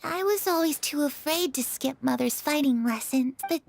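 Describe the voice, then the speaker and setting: An actress playing a gentle, wistful teenage girl in a video game, earnest and soft-hearted. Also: high-pitched, normal speed, gravelly. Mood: dreamy, playful. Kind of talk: scripted video game dialogue. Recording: background music under voice.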